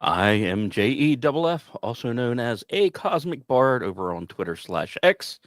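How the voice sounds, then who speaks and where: A man's voice: medium pitch, big pitch swings, normal speed, dry recording, studio quality. A man hosting a podcast, reading scripted space news like a dramatic story with conversational asides. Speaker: podcast host.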